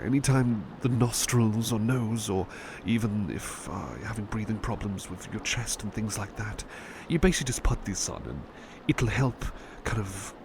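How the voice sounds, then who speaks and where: A man playing a devoted, gentle butler in a soothing ASMR roleplay, hesitant and polite, fussing and repeating himself reassuringly. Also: medium pitch, background noise, lightly acted.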